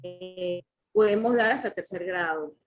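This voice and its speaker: A woman's voice: fairly low pitch, faint music behind. A woman from Venezuela, a teacher and graduate student, talking in class with many fillers like "este". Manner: slow, uses fillers like "este". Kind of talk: casual class participation.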